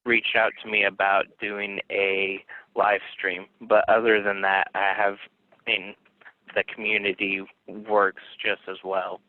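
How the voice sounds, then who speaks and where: A man's voice: lowish voice, flat delivery, a little slow. A man on a video call, hesitant and searching for words. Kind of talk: casual meeting discussion. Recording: phone-like thin sound.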